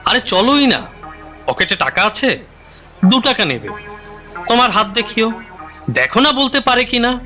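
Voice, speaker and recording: Guy acting pushy excitable friend in a radio play, bright high voice, really swingy. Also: unhurried, long pauses, faint music behind, exaggerated voice. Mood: eager, coaxing. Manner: urging, chatty, animated. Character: eager, insistent young man dragging his friend along. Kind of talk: Bengali audio drama acting.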